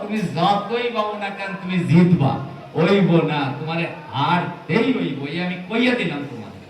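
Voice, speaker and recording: A man's voice: high voice, lively intonation, moderate pace. A man acting an irritable, indignant bridegroom in a comedy audio play, scolding in Bengali with touches of East Bengali dialect. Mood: teasing, triumphant. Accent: Bengali, with East Bengali dialect at times. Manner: exasperated, scolding, theatrical rhetorical questions. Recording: echoey room, distant, faint noise.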